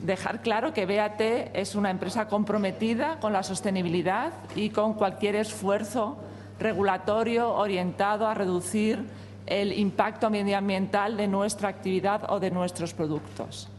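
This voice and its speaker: A woman whose first language is Spanish, representing a company at a parliamentary hearing and giving formal testimony in a measured, hesitant way with frequent fillers. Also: medium pitch, steady tone, long reverb tail, a little hiss or hum.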